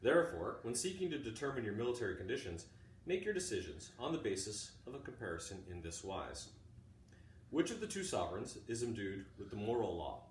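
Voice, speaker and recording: A middle-aged man, a teacher reading aloud in a deliberate way, pausing often between short phrases. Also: mid-range voice. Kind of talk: informal introduction and measured read-aloud of a classic book. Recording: roomy, distant mic, faint background noise.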